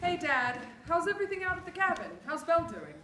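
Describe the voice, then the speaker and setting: A woman acts a worried, loving mother in a stage script reading. Her voice is high, in an echoey room with slight background noise. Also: very animated, fast, sharp tone, exaggerated voice. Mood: concerned. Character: worried, affectionate mother of a young boy.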